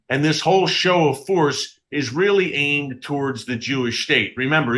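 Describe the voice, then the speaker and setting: A man's voice: medium pitch, sharp tone. A man hosting a live-streamed news commentary show from a studio, a little congested and sniffling, talking straight to his viewers with emphasis. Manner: direct address to viewers, emphatic.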